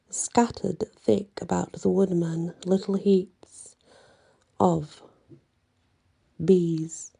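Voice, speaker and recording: A woman telling a bedtime story in a hushed, unhurried ASMR narration, drawing out phrases and adding knowing little asides to the listener. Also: lowish voice, gravelly, breathy edge. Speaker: storyteller reading a tale aloud.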